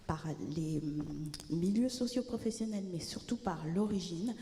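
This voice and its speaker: A woman who grew up in France, a journalist, putting a formal, deliberate question from the floor. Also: fairly low pitch, mellow. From born in Benin, grew up in France. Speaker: freelance culture journalist asking an audience question. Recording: very echoey large hall.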